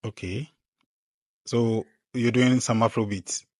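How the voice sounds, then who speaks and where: A man hosting a casual podcast, relaxed, trailing off quietly and filling with okays and ums. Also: mid-range voice, takes it slowly, dry recording, studio quality. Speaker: podcast host.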